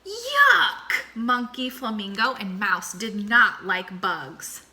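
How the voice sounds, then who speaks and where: A woman teacher reading a picture book aloud to children, slow and expressive, then posing questions to them. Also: medium pitch, slight background noise. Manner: slow, expressive and warmly animated.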